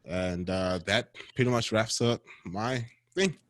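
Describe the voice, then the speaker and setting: Low-voiced man, dry and casual. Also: takes it slowly, no echo. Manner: loose and conversational, with many fillers. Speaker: panel speaker. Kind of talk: casual personal story.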